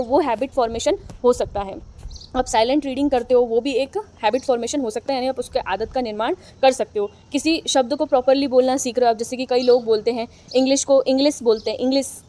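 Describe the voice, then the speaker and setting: A young woman with an Indian accent leads an exam-prep lesson in a high, light voice. She talks in a chatty, encouraging way. She reads each line slowly and then explains it in her own words. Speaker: teacher.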